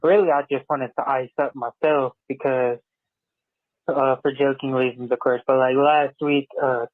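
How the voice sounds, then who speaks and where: A young man in his late teens calling in to a sports podcast, speaking slowly in a casual chat. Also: medium pitch, no room sound. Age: young adult. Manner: slow, flat delivery. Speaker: caller. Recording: tinny phone line.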